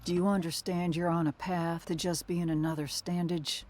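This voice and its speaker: A woman acting a battle-weary, remorseful older sister and militia fighter in a video game cutscene, speaking in a measured, earnest way. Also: lowish voice, little pitch movement, a little mellow, lightly acted. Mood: guarded. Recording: music under the voice.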